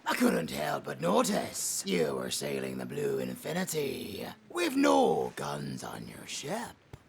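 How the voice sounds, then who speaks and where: A performer in an audio drama voicing a swaggering, theatrical pirate captain, gravelly and taunting, dropping into breathy growls. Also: medium pitch, huge pitch swings, slow pace, exaggerated voice. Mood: mock-polite, teasing. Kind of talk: cinematic audio roleplay acting.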